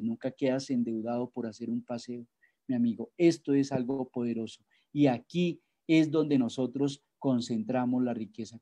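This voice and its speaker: A man's voice: medium pitch, mellow. A man giving an online sales presentation over a video call, persuasive and full of rhetorical questions.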